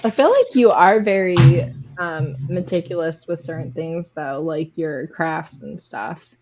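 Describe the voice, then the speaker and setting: A woman with a mid-range voice leads a casual quiz in a group chat. Her pace is very slow and unhurried, in an everyday conversational style. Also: deep voice, music in the background, low-quality recording.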